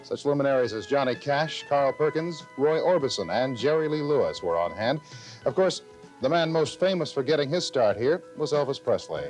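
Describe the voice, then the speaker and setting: A man's voice: mid-range voice, lively intonation, normal speed, a little mellow, low-quality recording. Male co-anchor for TV news, mid-range voice, scripted read, slight music underneath. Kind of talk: scripted TV news reading with brief co-anchor banter. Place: TV news studio.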